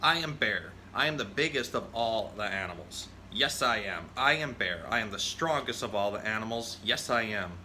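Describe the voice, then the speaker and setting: A huge, proud bear from a children's storybook, acted out by a man reading aloud. He brags stubbornly in emphatic, chanting declarations. The voice is bright and forceful, with slight background noise. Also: fairly low pitch, expressive, normal speed, hardly any pauses. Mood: boastful.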